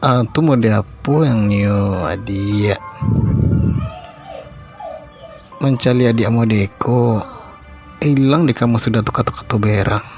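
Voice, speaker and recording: A man's voice: on the low side, unhurried, darkish tone. Man playing a husband, Minangkabau accent, smitten, sweet-talking, comic cartoon dialogue, faint music. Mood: teasing.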